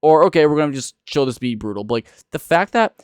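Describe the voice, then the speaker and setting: Clear-voiced young man, close and dry. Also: fairly high pitch, animated, quick pace, no room sound. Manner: rambling, informal, emphatic. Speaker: video reviewer and streamer. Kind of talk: casual reaction commentary.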